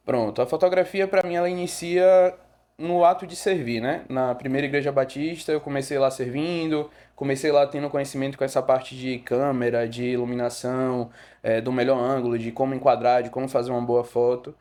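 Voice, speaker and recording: A young man from Rio de Janeiro with a Bahian Brazilian accent, a photographer guest telling his story in a relaxed podcast chat with fillers and self-corrections. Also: mid-range voice, brisk. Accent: Brazilian Portuguese, Bahia.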